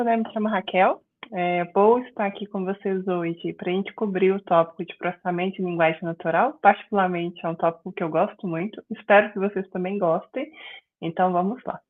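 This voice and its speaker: A middle-aged woman with a Mineiro Brazilian accent gives a friendly, explanatory lesson, dotting her sentences with "né" and "é". Her voice sits at an ordinary pitch for a woman. It comes through a narrow, tinny online-call line that sounds like a phone. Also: a little hiss or hum. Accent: Mineiro Brazilian Portuguese. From Minas Gerais, Brazil. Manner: friendly, explanatory, frequent "né" and "é" fillers. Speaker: guest instructor. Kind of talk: online class lecture.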